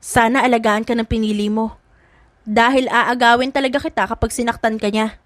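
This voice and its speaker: A woman voicing a gentle, lovelorn young man in an audiobook drama, earnest and accepting. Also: fairly high pitch, steady tone, a little fast, no echo, natural voice. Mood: earnest, wistful.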